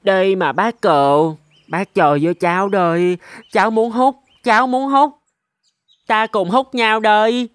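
An actor voicing a cocky, pushy young goat kid in an animated fable, boastful and pleading in a sing-song way. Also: mid-range voice, unhurried, smooth, no room sound, natural voice. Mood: whiny, pleading. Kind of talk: Vietnamese animated fable dialogue.

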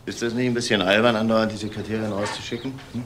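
Actor as cool, curt husband, fast-talking. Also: male voice, fairly low pitch, fairly even intonation, a bit bright, close to an everyday voice. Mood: cool, evasive. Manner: curt, unruffled. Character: cool, evasive husband, the boss. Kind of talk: German crime radio drama acting. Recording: roomy, distant, very noisy sound.

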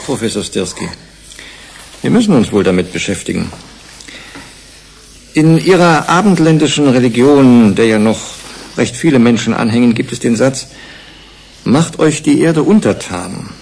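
A gravelly, probing investigator. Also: male voice, mid-range voice, a little mellow. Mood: measured, insistent. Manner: deliberate, emphatic questioning. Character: male UN commission investigator, probing, measured, persistent. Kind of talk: German radio drama acting. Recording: faint background noise.

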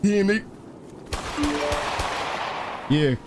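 A man streaming a role-play game, chattering in character in excitable slang and repeating his phrases. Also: high voice, drawn-out words, music in the background. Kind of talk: in-character live gameplay chatter. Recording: sirens and vehicle noise behind voice. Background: game sirens and car sounds.

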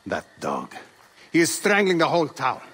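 An actor playing a boastful, embittered harbor master and smuggler in a video game, husky and indignant. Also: male voice, fairly high pitch, wildly swooping pitch, normal speed, fairly bright tone, long reverb tail, full character voice. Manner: theatrical, indignant. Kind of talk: video game cutscene acting.

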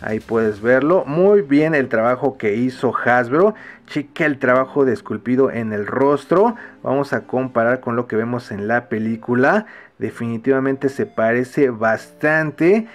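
Relaxed Mexican man reviewing toys. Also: mid-range voice, measured pace. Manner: easygoing, talks while handling toys on camera. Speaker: toy reviewer host. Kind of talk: casual product review.

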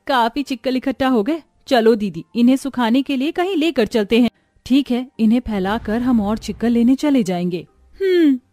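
A woman voicing a poor young village sister, earnest and expressive, in an animated moral story. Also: a little high, moderate pace, dry recording, soft background music, natural voice. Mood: busy, cheerful.

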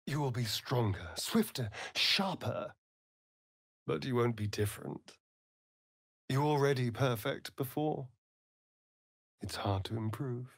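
An actor playing a vain, sardonic vampire rogue in a video game, with an arch, drawling, theatrical delivery. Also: male voice, medium pitch, lively intonation, takes it slowly, pauses often, no echo, clean studio sound. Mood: soft, intimate. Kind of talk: video game character acting.

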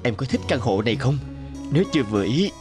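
A man voicing a wealthy company director in a cartoon, smooth-talking, patronising and dismissive. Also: mid-range voice, big pitch swings, quick pace, natural voice. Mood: suave. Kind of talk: Vietnamese cartoon dubbing. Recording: guitar music under the voice.

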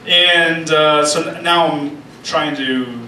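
Young man telling deadpan puns, roomy club sound. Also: a little high, slow pace. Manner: deadpan punning, sings snatches of songs. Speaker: stand-up comedian and interview guest. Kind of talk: stand-up set with puns, then casual interview. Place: small open-mic club stage, indoors. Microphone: handheld mic, filmed by a far camera. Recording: roomy far-off camera audio with background noise.

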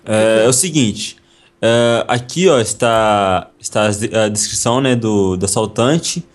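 A man playing a weary, patient but reproving policeman, speaking haltingly and repeating himself in an amateur acted drama. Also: lowish voice, natural voice. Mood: businesslike.

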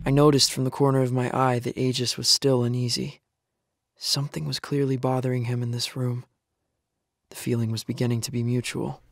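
An actor playing a sombre young male survivor, narrating his story in a measured, serious way for an audio drama. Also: mid-range voice, little pitch movement, creaky, dry recording, close to an everyday voice. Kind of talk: scripted first-person drama narration. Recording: music bed behind narration.

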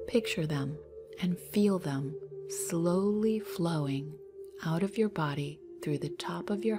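A woman leading a guided meditation in a soft, breathy, half-whispered voice, soothing and unhurried, leaving long pauses. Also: deep voice. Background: meditation music.

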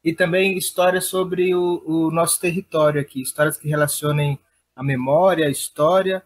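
Husky, higher-pitched São Paulo man. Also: steady tone, moderate pace. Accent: São Paulo Brazilian. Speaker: guest, theatre director and playwright. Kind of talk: casual interview conversation.

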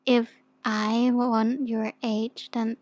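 A woman playing a shy, flustered young demon in an ASMR roleplay, with a soft, sometimes whispery voice and a hesitant, stammering manner. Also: fairly high pitch, flat delivery, extremely slow, no room sound. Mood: earnest, explaining.

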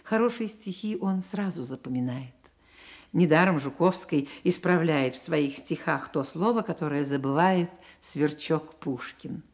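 A woman narrating a radio drama about a poet's life as an expressive literary storyteller. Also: deep voice, measured pace. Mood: expressive storytelling.

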